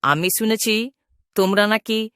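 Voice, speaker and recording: Woman as cartoon ghost mother: firm, protective, menacing, mid-pitched, very dry recording. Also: unhurried, fairly bright tone, clean tone, no echo, close to an everyday voice. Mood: stern, threatening. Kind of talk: Bengali cartoon voice acting.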